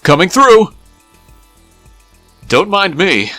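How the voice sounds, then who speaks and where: A man's voice: high-pitched, big pitch swings, drawn-out words, over music, full character voice. A man voicing a sly, brash talking fox in a comic dub, glib and urgent. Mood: cheeky alarm. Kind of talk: comic-book dub acting.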